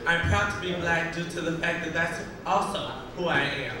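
Earnest, formal contestant in a hall. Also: male voice, on the high side, moderate pace, a crowd in the background. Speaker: pageant contestant. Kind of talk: onstage pageant answer. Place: large stage in a hall. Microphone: handheld mic through hall speakers. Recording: echoey hall taped from far off, noisy.

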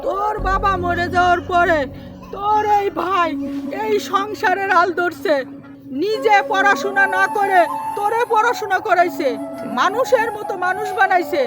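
A woman's voice: extremely high pitch, steady tone, normal speed, over a noisy crowd. A woman with a Bangladeshi accent playing an anguished, loving middle-aged village mother in a melodramatic family drama. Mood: bitter reproach. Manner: pleading, emotional. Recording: loud background music over dialogue.

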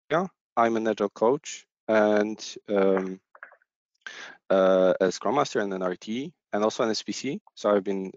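Gravelly low-voiced man hosting a webinar. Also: no echo. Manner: hesitant, many fillers and restarts. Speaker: agile coach, webinar host. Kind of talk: online webinar presentation. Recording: dull, lo-fi video call audio.

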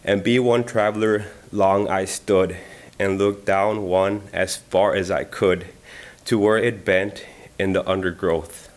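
Earnest low-voiced man at a lectern. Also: fairly even intonation, slow pace. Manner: earnest, with frequent "um" fillers. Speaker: community member reading a poem. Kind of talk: personal reflection and poetry reading at a library event. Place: library meeting room. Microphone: lectern microphone.

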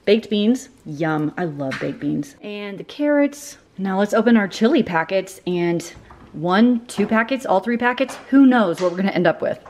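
A woman hosting a casual home cooking vlog, chatty and quick to laugh at herself, in a home kitchen. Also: mid-range voice, darkish tone, slight background noise.

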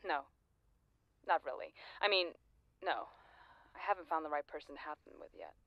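A woman's voice: sits low, animated, moderate pace, dry recording, muffled recording. Needy, sardonic lonely woman, dry close sound. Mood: wry, vulnerable. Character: lonely, persistent, wryly needy former high-school classmate, woman. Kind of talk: naturalistic audio drama dialogue.

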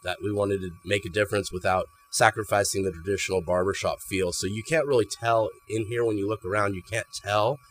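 A man's voice: lowish voice, steady tone, brisk, hardly any pauses, fairly bright tone, slight background noise. A man, a barbershop owner chatting casually in an interview inside a small shop, often repeating words as he thinks. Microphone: handheld mic held by interviewer.

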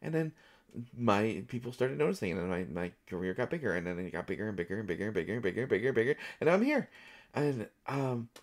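A man's voice: fairly low pitch, very animated, quick pace. A man performing an audio roleplay as an overexcited, anxious, over-caffeinated actor, stammering and repeating his words as he rambles. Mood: nervous, eager. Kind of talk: solo audio roleplay acting, one side of a conversation.

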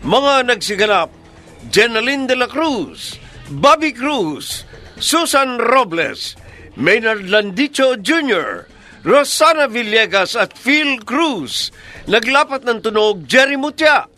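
A man's voice: very high voice, big pitch swings, takes it slowly, pushed and bright. A man with a Filipino accent doing scripted announcing for a radio drama, with music behind him. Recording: music under the voice.

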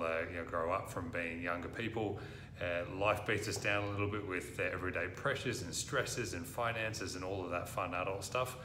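An Australian man in his thirties, speaking earnestly to camera as a recruitment presenter, often pausing with "uh". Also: deep voice, noisy background. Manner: earnest, frequent "uh" fillers. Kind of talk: direct-to-camera talk. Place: office room, indoors. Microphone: lapel mic. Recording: roomy office echo.

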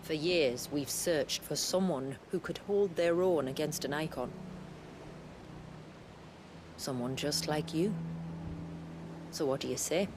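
An actress in a video game cutscene playing a proud, solemn envoy of a hidden tribe, speaking formally and with poise. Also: female voice, low-pitched, takes it slowly, pauses often, noisy background. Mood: persuasive.

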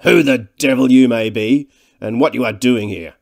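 A man voicing a thick-set, rude, domineering man in a storybook, loud, commanding and brusque. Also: mid-range voice, normal speed, vocal fry, natural voice. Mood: haughty challenge. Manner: brusque, bullying.

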